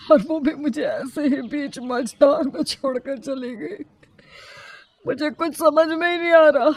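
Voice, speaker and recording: Lady doing a heartbroken widower big brother for a storybook, mournful n stubborn, voice up high. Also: a little mellow. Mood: grieving, despairing. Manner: mournful, protesting, drawn-out phrases. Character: grieving widowed elder brother, despairing and stubborn.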